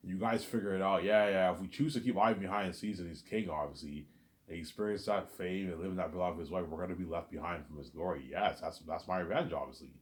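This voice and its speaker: A man talking straight to his audience in a rambling monologue, repeating himself, stumbling over restarts, and letting his asides fade quietly. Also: low voice, quick pace. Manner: repetitive, stammers on restarts, trails off quietly on asides. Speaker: solo vlogger.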